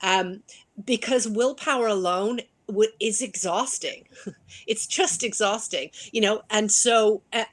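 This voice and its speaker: A woman coach speaking in an interview over a video call, animated and laughing, with many restarts. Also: mid-range voice, slow. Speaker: business coach. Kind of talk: interview conversation.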